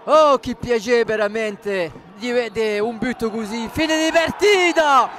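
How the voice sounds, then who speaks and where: A man commentating live on football for radio, excitable and partisan, repeating his phrases. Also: very high-pitched, a little slow, faint music behind. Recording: loud stadium crowd and noise. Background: stadium crowd.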